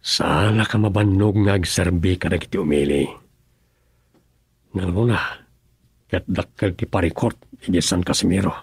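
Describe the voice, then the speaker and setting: An actor in an Ilocano radio drama playing an earnest male governor who speaks calmly and sincerely. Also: sits low, normal speed, creaky, pauses often, husky, no echo, exaggerated voice. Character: earnest adult male governor devoted to public service.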